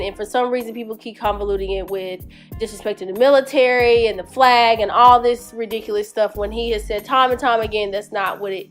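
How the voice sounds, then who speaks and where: A woman YouTuber with a stuffy nose from a cold, chatting casually to her viewers, rambling with lots of fillers and restarts. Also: on the high side. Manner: rambling, with many fillers and self-corrections. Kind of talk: casual commentary vlog.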